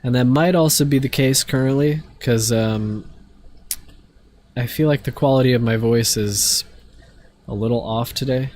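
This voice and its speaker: A man's voice: mid-range voice, normal speed, pauses often. Stuffy-nosed male streamer, rambling. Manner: relaxed, rambling, many fillers. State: stuffy from allergies. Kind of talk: casual live-stream chat while gaming.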